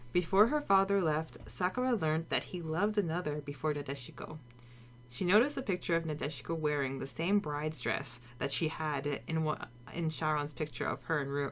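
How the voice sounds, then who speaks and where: A woman co-hosting a podcast, moving between casual chat and a scripted story recap. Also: low-pitched. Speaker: podcast co-host.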